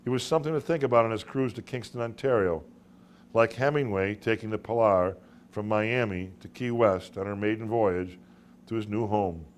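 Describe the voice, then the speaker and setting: Low-voiced man reading a story aloud. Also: normal speed, slight background noise. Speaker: author reading own short stories. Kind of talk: dramatic literary audiobook narration.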